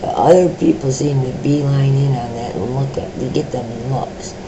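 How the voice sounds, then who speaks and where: A middle-aged woman with a deep voice, sharing her own experiences in a personal vlog, speaking slowly with many pauses, ums and restarts. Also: highs cut off, noisy background. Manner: slow, halting talk with many fillers and restarts.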